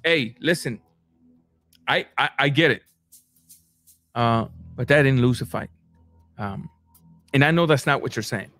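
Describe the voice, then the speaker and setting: A man from Cuba, hosting a casual boxing livestream and reading viewer comments, animated, stumbling over his words and jumping up in pitch. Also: a little slow, pauses often, no room sound, soft background music. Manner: animated, repeats words and restarts, rising to high bursts.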